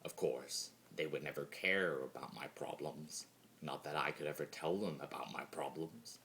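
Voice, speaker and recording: A man's voice: very deep voice, normal speed, creaky, a bit bright, a little hiss or hum. A man voicing a bitter, mocking male spirit of chaos in a theatrical, brooding, sardonic dramatic reading of fan fiction.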